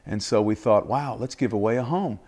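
A man's voice: lowish voice, a little fast, a little mellow. A man in his forties giving an explanatory interview in a living room. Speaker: team business executive. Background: light music.

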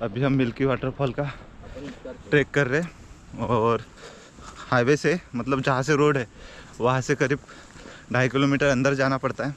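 A man's voice: mid-range voice, steady tone, moderate pace, long pauses, fairly bright tone. A young man in his twenties, chatting casually as he rides and treks outdoors, upbeat as he shows off the views. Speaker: motovlogger. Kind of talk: casual travel vlog narration. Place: outdoors on hills and riding a motorbike in rain. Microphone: action camera, handheld at arm's length while trekking. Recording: dull lo-fi action camera audio with wind and traffic noise.

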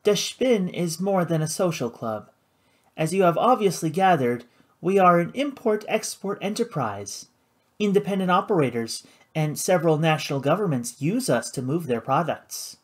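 A man's voice: fairly high pitch, animated, husky, voice pushed up. A man voicing a poised, icy woman casino boss. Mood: cool, formal. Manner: poised, measured, formal.

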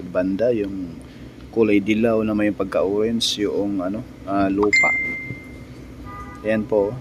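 A man narrating drone footage as a vlogger, halting, with frequent pauses. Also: lowish voice, a little mellow, a little hiss or hum. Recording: music behind the voice. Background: music.

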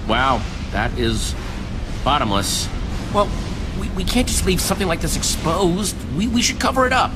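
A man playing a young, excitable and earnest adventurer hero in a video game, expressive and casual in his talk. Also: sits high, normal speed. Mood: worried. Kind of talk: animated video game voice acting. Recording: loud music behind the dialogue.

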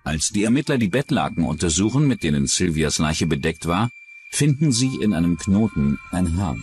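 A man with a very low voice narrates a true-crime documentary. His delivery is scripted and varies noticeably in pitch, with faint music behind him. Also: big pitch swings, normal speed, darkish tone.

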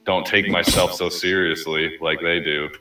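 A man's voice: sits low, level tone, moderate pace, faint music behind. A man chatting casually with friends while playing an online game. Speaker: gamer in a group voice chat. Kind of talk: casual banter while gaming. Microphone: gaming voice chat. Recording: roomy, distant mic with loud noise.

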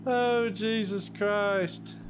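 A man chatting casually during a gaming stream, given to drawn-out exclamations. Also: high-pitched, fairly even intonation, background noise. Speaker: stream host and gamer.

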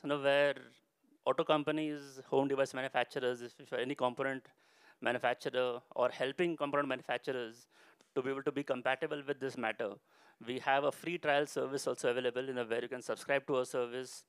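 A middle-aged man speaks as a conference presenter in a clear, resonant voice at a medium pitch. He explains in a semi-formal, conversational way, leaning on habitual linking phrases. The handheld microphone gives a close sound with little echo. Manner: explanatory, with frequent "you know" and "go ahead and" phrasing. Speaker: company director presenting a product launch. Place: stage at a large indoor expo conference.

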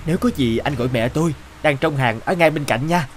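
A man's voice: a little high, a little fast, faint music behind, lightly acted. A man voicing a kind, courteous young village father in a cartoon. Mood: hurried. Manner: plain-spoken, courteous. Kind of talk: animated cartoon dialogue.

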